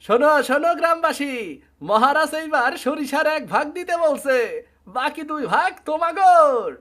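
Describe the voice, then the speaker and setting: A man's voice: very high voice, normal speed, a bit bright. A man playing an obedient rustic royal crier and guard with a rural eastern Bengali accent in a cartoon. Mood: proclaiming. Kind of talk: Bengali fairy tale cartoon.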